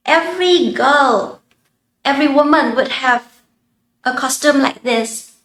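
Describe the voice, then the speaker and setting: A woman in her thirties from northern Vietnam introduces herself in careful English with a Vietnamese accent. Her voice is high and her delivery slow and deliberate. The room gives her voice a clear echo. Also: bright, forceful tone. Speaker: social worker. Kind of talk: self-introduction to camera.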